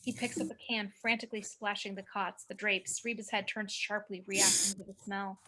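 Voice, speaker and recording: A woman's voice: medium pitch, flat delivery, moderate pace, bright, forceful tone, poor audio. A woman reading screenplay action as narrator, with dramatic, clipped phrasing, recorded over a home video call.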